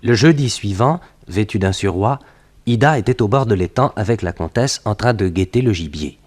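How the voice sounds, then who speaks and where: An actor serving as narrator of a 1960s French radio detective serial, reading scripted storytelling passages. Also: male voice, fairly low pitch, lively intonation, normal speed, a little mellow.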